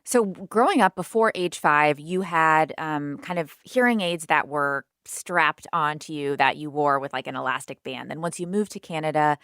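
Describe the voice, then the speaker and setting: Low-voiced woman host. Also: normal speed, few pauses, a bit bright, clear, resonant tone, no room sound, studio quality. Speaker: podcast host. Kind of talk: narration and interviewing.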